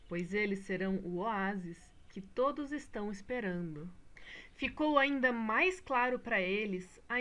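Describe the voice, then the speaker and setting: A woman narrating a scripted children's story in an expressive, explanatory way. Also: mid-range voice.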